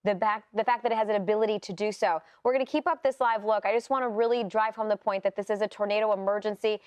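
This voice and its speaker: Smooth, dry-sounding woman weathercaster. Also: medium pitch, steady tone, fast, a little mellow, no room sound. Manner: emphatic, urgent, unscripted. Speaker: TV weather broadcaster. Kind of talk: live severe weather commentary.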